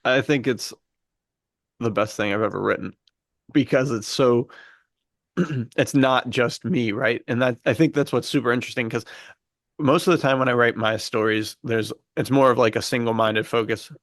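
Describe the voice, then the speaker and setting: A man's voice: medium pitch, steady tone, normal speed, a bit bright, no echo, clean studio sound. A man co-hosting a podcast in casual chat, speaking in an earnest, informal, enthusiastic way. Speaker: podcast co-host and fiction writer.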